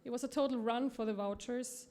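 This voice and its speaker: Woman, Austrian accent, slightly high voice, presenting at a forum, hesitant with fillers. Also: steady tone, mellow. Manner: halting, many fillers and self-corrections. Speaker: conference speaker from a promotional bank. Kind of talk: conference presentation.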